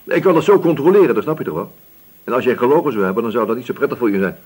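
An actor playing a male police inspector in a radio drama, questioning patiently but insistently with a slightly husky voice and deliberate pauses. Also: medium pitch, animated, darkish tone. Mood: pressing. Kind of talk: Dutch radio drama interrogation acting.

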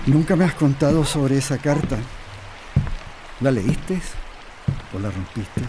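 A man playing a gently ironic husband in a radio drama. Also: mid-range voice, lively intonation, slow pace, noisy background. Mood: subdued.

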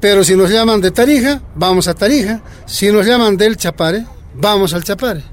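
An actor playing a keen younger union leader in a radio drama, earnest and persuasive. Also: male voice, high voice, moderate pace, natural voice.

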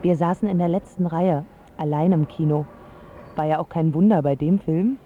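A woman's voice: sits low, moderate pace, phone-quality sound, slight background noise, lightly acted. Woman playing a smitten, confiding teenage girl. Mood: dreamy. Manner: reflective, confiding. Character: smitten, self-assured teenage girl from a pampered home. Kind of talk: scripted first-person radio drama narration.

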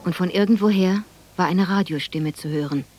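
Lowish raspy woman narrator actress, reflective, measured plain radio drama storytelling. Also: dark tone, close to an everyday voice. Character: reflective woman recalling her village childhood.

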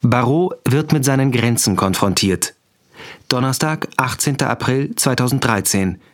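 A man's voice: mid-range voice, expressive, moderate pace. A man announcing scene headings in a scripted radio drama.

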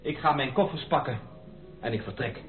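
An actor playing a proud, stubborn gentleman suitor in a Dutch radio play, speaking formally and with dramatic emphasis. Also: medium pitch, moderate pace, faint music behind, close to an everyday voice. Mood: stern. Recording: thin narrow-band radio play recording.

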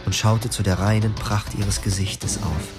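An actor in his twenties playing a brooding young husband who narrates calmly and reflectively, in a radio play with music underneath. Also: fairly low pitch, a little slow, lightly acted. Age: 20s. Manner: calm, reflective, poetic narration.

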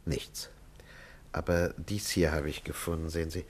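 A man's voice: extremely low pitch, little pitch movement, normal speed, darkish tone, slight background noise. An actor playing a worried middle-aged psychiatrist and father in a 1950s German radio play, formal and hesitant in manner. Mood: anxious, puzzled. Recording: 1950s radio drama recording.

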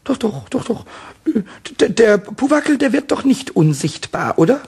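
A cheeky little male kobold in a radio play, squeaky and a bit breathy. He stammers and frets like a naughty child caught out, then wheedles. The voice sits high and husky. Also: big pitch swings, unhurried, a little mellow, full character voice. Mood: timid, evasive.

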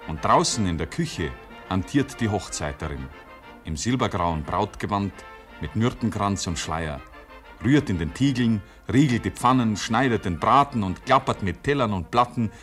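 Deep-voiced male storyteller over faint music. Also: a bit bright. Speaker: narrator. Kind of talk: 1950s radio drama literary narration.

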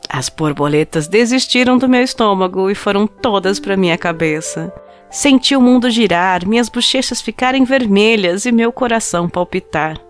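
A woman's voice: mid-range voice, animated, moderate pace, natural voice. A woman acting a heartbroken young woman who tells the story of her lost love in an intimate, confessional way. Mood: giddy, nostalgic. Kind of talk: dramatized first-person short story narration in a podcast. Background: music under the voice.

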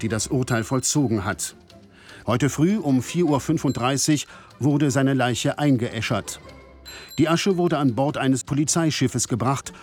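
A man's voice: mid-range voice, a little mellow, natural voice. Guy acting as a 60s radio news man, formal and factual, faint music behind. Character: sober male radio newsreader of the 1960s. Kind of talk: scripted radio news bulletin.

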